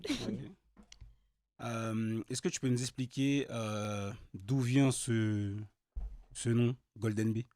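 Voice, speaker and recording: A man's voice: mid-range voice, expressive, slow, a little mellow. A man hosting a casual interview show, upbeat and slangy, often laughing. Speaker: host.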